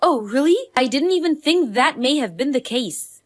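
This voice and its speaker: A woman's voice: sits high, expressive, normal speed. A woman playing a blunt, pushy female friend in a scripted story drama. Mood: insistent, puzzled. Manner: blunt, accusing. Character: Allison, pushy, indignant, spoiled but loyal woman friend.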